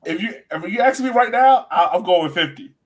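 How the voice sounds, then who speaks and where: A man's voice: high voice, expressive, a little fast. A man hosting a sports podcast, chatting casually and emphatically about soccer in an informal way. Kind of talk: casual chat, soccer analysis.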